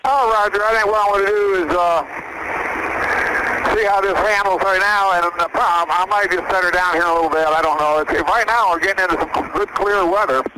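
Male private pilot, high-pitched, halting and shaken, heard through radio with clear aircraft noise. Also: steady tone. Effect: aviation radio. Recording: very noisy cockpit radio transmission. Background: aircraft noise and static.

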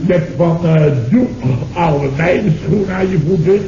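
A man's voice: sits high, a little slow, mellow. A dull, noisy old radio comedy recording with a roomy echo. an indignant Dutch man, flustered and stammering as he blusters through the story of his misfortune. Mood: indignant storytelling. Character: flustered, indignant man recounting his own disastrous errand.

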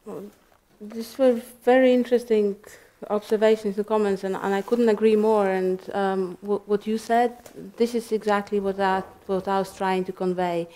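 A woman answering as a panelist in a library discussion, thoughtful and hesitant, with many pauses and restarts. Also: medium pitch, steady tone, mellow, low-quality recording. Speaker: panelist, researcher. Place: library event room.

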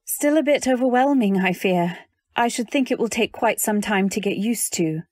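An actress playing a polite, timid young wife who narrates her story in an earnest, measured way in an old-time radio drama. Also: mid-range voice, darkish tone, no room sound, studio quality, close to an everyday voice. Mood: polite, shy.

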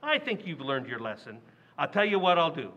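Man doing a blustering dad, high voice, bright, lively, muffled. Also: a little fast, full character voice. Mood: cocky. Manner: brash, boastful, chuckling. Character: blustering middle-aged father Riley, boastful and brash. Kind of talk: 1940s radio comedy acting.

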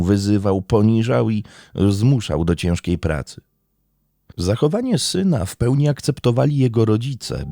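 A man narrating a scripted true-crime documentary in a measured, serious way. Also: deep voice, vocal fry, dark tone, dry recording.

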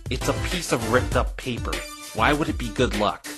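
A man's voice: medium pitch, moderate pace, loud music behind the voice, lightly acted. A man playing an easily flustered, exasperated young lawyer in a cartoon, with a dry, stammering manner. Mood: thoughtful, dry.

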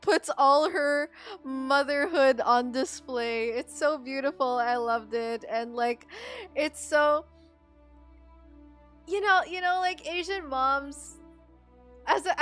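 A young woman with a fairly high voice talks casually to her livestream viewers. She is chatty and rambles, circling back over her phrases as she explains things. Faint music plays behind her. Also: a bit bright. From Philippines. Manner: chatty, rambling, filler-heavy with frequent "like". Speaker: game streamer.

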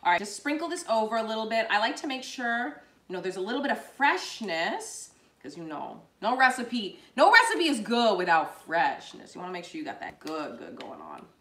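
Young woman cooking host, high bright voice, bubbly and chatty, roomy home-kitchen sound. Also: big pitch swings, fairly quick. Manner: bubbly, chatty, calls viewers y'all. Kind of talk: live cooking demo, casual chat. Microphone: fixed camera at counter distance. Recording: echoey kitchen room.

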